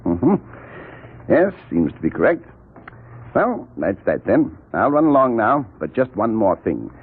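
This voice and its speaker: An actor playing a genteel, self-loathing blackmailer in a 1940s radio drama, speaking in a mannered, fussily polite, husky way. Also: male voice, on the low side, big pitch swings, unhurried, exaggerated voice. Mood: polite, cautious. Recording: muffled, narrow-band 1940s radio recording.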